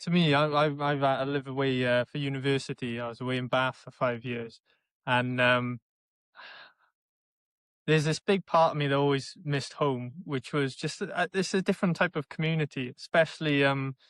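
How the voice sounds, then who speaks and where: A young man with a South Wales valleys accent, answering interview questions in a plainspoken, halting way. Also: medium pitch, steady tone, fairly bright tone, smooth, dry recording. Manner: plainspoken, halting, searches for words. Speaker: interviewee, election candidate.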